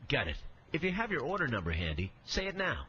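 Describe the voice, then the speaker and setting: A man's voice: mid-range voice, wildly swooping pitch, fast talker. A man voicing a brash, upbeat automated phone agent for a video game company, in hyped promotional prompts. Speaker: voice of a phone system demo. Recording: dull lo-fi clip, loud music behind.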